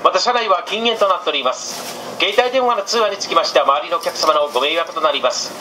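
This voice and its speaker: A man's voice: fairly high pitch, quick pace. A man working as a train conductor, making polite, formulaic public announcements over the train's speakers. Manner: polite, formulaic, reciting station lists. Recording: train PA with clear background noise.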